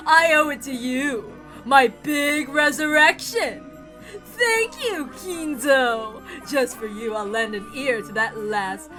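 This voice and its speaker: Cackling, drawn-out gleeful witch. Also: high-pitched, over music, rough recording. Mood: exultant taunting. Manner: theatrical, drawn-out, jeering delivery. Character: gleeful mocking cackling witch, freshly resurrected, taunting.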